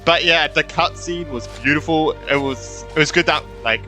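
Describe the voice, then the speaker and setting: A young man commentates casually on a video game. His voice is bright, forceful and a little high, and he rambles and repeats himself informally. Faint music sits behind him. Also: normal speed. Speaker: gaming YouTuber.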